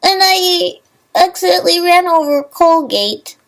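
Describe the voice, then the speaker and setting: A woman's voice: very high-pitched, animated, measured pace, a bit bright, full character voice. A woman voicing a timid, clumsy, apologetic young female pony, sheepish and hesitant, in a fan audio drama.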